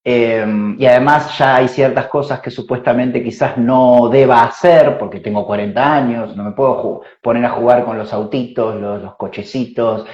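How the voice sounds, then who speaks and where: Unhurried man talking in a roomy space. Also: medium pitch, fairly even intonation, a little mellow. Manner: unhurried, thinking aloud with many fillers and tag questions. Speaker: spiritual teacher answering questions. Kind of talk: reflective explanatory talk. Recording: roomy, dull lo-fi sound.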